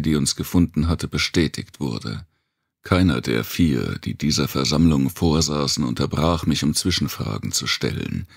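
A man narrating a post-apocalyptic thriller audiobook in the first person, with a measured, deliberate delivery and dramatic pauses. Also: extremely low pitch, gravelly, no room sound, studio quality.

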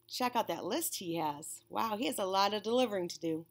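A woman in her forties reading a children's storybook aloud, with an expressive, deliberate storytelling delivery. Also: medium pitch, slight background noise. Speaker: children's storybook reader, teacher. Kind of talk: read-aloud for young children. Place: classroom, indoors.

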